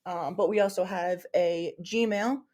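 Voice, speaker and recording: A woman's voice: fairly low pitch, unhurried, darkish tone. A woman co-hosting a podcast in casual chat, rambling and circling back as she talks. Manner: rambling, full of ums and restarts. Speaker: podcast co-host.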